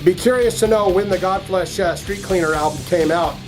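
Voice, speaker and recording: A man's voice: high-pitched, a little fast. A man hosting a casual music reaction, thinking aloud in a rambling, jokey, slangy way.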